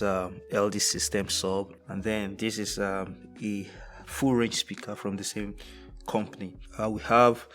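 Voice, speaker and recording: A young man presenting an instructional tutorial on audio gear in a measured, methodical way. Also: fairly low pitch, a bit bright. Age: young adult. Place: indoors, dark studio room.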